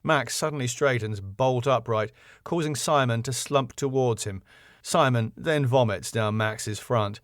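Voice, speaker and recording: A man's voice: mid-range voice, a little slow, dry recording, studio quality. A man reading stage directions from a sitcom script as scripted narration.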